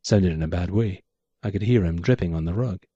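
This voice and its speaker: Male author-narrator, hardboiled noir read, dark and close, lo-fi. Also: sits low, fairly quick, dry recording. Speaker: author reading his own story. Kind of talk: first-person noir narration.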